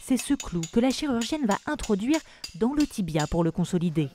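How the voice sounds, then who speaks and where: A woman reading a scripted documentary voice-over in a measured, even way. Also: on the high side, slight background noise. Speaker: documentary narrator. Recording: voice-over with music behind. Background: music.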